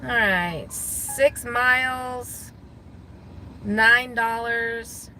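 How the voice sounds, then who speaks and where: A woman from Guam chatting casually to viewers from her car, thinking out loud and laughing easily. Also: on the high side, drawn-out words, faint music behind. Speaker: gig delivery driver vlogger. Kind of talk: casual talking to viewers while driving. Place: inside a parked car.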